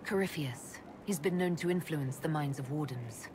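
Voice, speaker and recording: A woman's voice: low-pitched, normal speed, noisy background, close to an everyday voice. An actress playing a resolute, caring female army leader in a video game, composed, earnest and direct. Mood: calm, curious. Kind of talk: dramatic video game dialogue.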